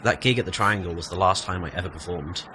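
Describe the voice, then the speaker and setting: A man's voice: low-pitched, fast talker, pushed and bright, slight background noise. A man playing a laid-back, modest young former musician in an audio play, talking casually and self-deprecatingly. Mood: modest, matter-of-fact. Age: young adult. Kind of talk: naturalistic audio play dialogue.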